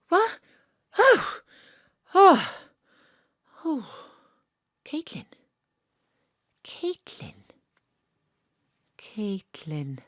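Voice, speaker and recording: A woman's voice: sits high, huge pitch swings, drawn-out words, long pauses, dry recording, studio quality, heavily acted. A woman acting an eccentric amateur detective who narrates her investigation in an audio drama, theatrically and with dramatic repetition. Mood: startled. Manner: theatrical, breathless self-talk with dramatic repetition. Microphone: close home studio microphone.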